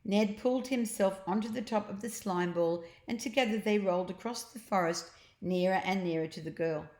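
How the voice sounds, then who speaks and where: Woman, storybook reader for children, mid-pitched voice, roomy echoing recording. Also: moderate pace, a little mellow. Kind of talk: children's read-aloud narration with asides about the pictures. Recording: echoey, roomy home recording.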